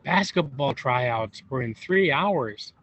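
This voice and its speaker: A man reading a storybook aloud to young children, expressive and unhurried, pausing for wondering asides. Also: medium pitch. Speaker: volunteer storybook reader. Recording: roomy echo, dull lo-fi sound.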